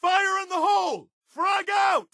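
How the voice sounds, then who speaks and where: A man's voice: extremely high pitch, a little slow, creaky, bright, forceful tone, no echo, shouting, heavily acted. A principled, battle-weary militia soldier from a video game. He is earnest and direct, with clipped military phrasing and a loyal streak. The sound is very dry, with no room around the voice. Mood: commanding, determined. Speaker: video game companion character. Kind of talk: scripted game dialogue and combat barks.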